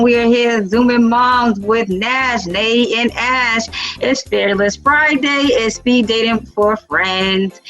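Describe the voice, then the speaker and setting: A woman's voice: fairly high pitch, fairly bright tone, dull audio. Chatty woman hosting a video call. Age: 30s. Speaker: host. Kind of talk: casual group video call.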